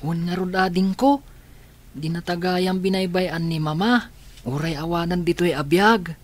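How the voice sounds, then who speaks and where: Man playing a gentle older brother. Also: high-pitched, fairly even intonation, unhurried. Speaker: radio drama actor.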